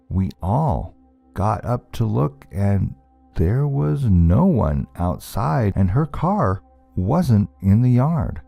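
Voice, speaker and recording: A man narrating a scary story from a script in a measured, deliberate storytelling manner. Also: lowish voice, gravelly, warm tone.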